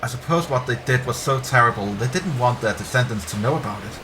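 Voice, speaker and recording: A man's voice: mid-range voice, expressive, quick pace. Man reading as talkative young woman archaeologist, noisy background. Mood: apologetic. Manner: talkative, apologetic, hushed confiding. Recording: clear background ambience noise.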